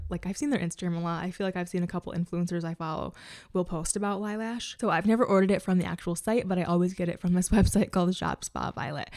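A woman's voice: fairly low pitch, warm tone, dry recording, clean studio sound. A woman of twenty-five chatting casually and enthusiastically about things, with a girly, chatty manner, as a beauty content creator. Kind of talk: casual chat.

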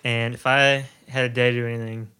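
A man's voice: medium pitch, flat delivery, fast, sharp tone, clear, resonant tone. A nineteen-year-old American man from Tennessee chatting casually as a podcast co-host. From Tennessee, United States. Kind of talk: casual chat.

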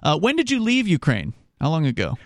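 A man hosting a call-in radio show, relaxed and questioning callers on a studio mic. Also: on the high side, fairly quick, no room sound. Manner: relaxed, questioning, laughs easily.

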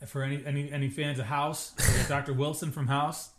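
A man's voice: fairly high pitch, little pitch movement, fairly bright tone. A young man co-hosting a podcast, chatting casually and jokingly about a TV episode. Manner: chatty, jokey, quick asides. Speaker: podcast co-host. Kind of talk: casual TV recap chat.

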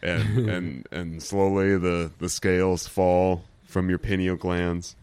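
A man co-hosting a podcast in casual joking chat, making deadpan quips with stammered restarts. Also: sits low, takes it slowly, clean tone, no room sound. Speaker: podcast co-host.

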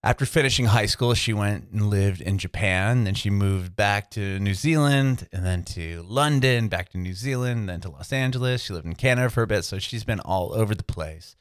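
A man's voice: fairly low pitch, moderate pace, few pauses, no room sound, studio quality. A young man hosting a podcast, reading the show intro and then asking eager, rambling questions. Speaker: podcast host. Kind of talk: podcast intro and casual interviewing.

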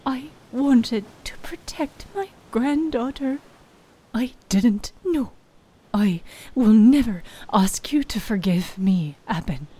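A woman voicing an older, guilt-ridden grandmother in a fantasy story, pleading and tender in a hoarse, breathy voice. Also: fairly high pitch, lively intonation, slow pace, warm tone, pitched above the performer's own voice, heavily acted. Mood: remorseful, pleading.

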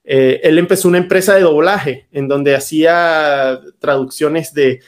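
A man from Venezuela, a guest in an interview telling his family's story in a halting way with many restarts. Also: fairly high pitch, steady tone. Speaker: guest, startup founder.

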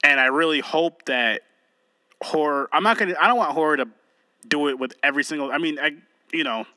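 A man's voice: fairly high pitch, fairly quick, pushed and bright, no room sound. A man co-hosting a podcast, chatting loosely with a friend, joking and swearing as he goes. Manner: loose, joking, profane banter. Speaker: podcast co-host. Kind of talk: casual chat.

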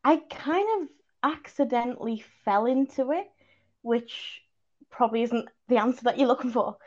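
A young woman from Liverpool with a Liverpool accent, chatting casually as a podcast guest, self-deprecating and quick to laugh. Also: fairly high pitch, darkish tone, lo-fi sound. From Liverpool, England. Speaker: podcast guest, video journalist. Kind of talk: casual chat.

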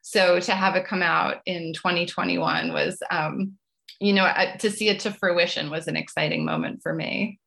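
A woman's voice: on the low side, fairly even intonation, moderate pace, gravelly, a bit bright. A middle-aged woman speaking conversationally as an interview guest, chatty and often hedging her phrases as she goes. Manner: chatty, hedging with "you know" and "I guess". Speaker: guest author.

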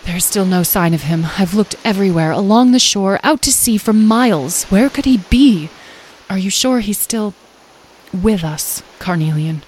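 A woman's voice: mid-range voice, lively intonation, normal speed, darkish tone, a little hiss or hum, close to an everyday voice. A woman voicing an anxious, worn-out mother in an audio storybook, speaking with worried urgency. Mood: worried, weary. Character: anxious, exhausted mother searching for someone lost.

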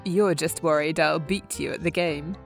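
A woman's voice: deep voice, fairly even intonation, normal speed, gravelly, soft background music. A woman playing a vain, flirtatious, competitive glamorous friend in an audio drama, theatrical and teasing. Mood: breezy, needling.

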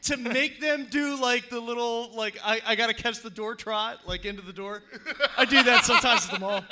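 A man in casual group banter, animated and ranting, laughing as he talks. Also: extremely high pitch, moderate pace, very noisy.

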